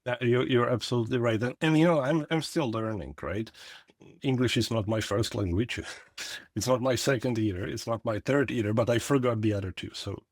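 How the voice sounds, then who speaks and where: A middle-aged man, hosting a relaxed conversation in a thoughtful, lightly joking manner. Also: mid-range voice, normal speed, no room sound, clean studio sound. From Czechoslovakia. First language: Czech. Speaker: podcast host. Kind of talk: casual conversational interview.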